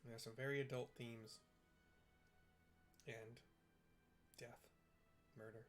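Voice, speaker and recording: Flat-voiced man retelling a game story. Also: medium pitch, takes it slowly, soft background music. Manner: flat, plain-spoken describing. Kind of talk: casual retelling of game cutscenes.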